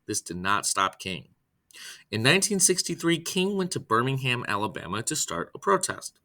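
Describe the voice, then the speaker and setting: A man reading a children's biography aloud from a script, phrase by phrase, in a deliberate way. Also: mid-range voice, big pitch swings, bright, forceful tone. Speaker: reader, storyteller.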